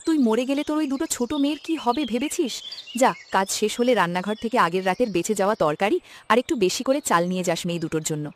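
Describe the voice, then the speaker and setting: A woman voicing a bossy but kindly wealthy mistress who scolds briskly in a cartoon. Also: medium pitch, expressive, a little hiss or hum, lightly acted. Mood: scolding, concerned. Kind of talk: Bengali cartoon moral-story voice acting.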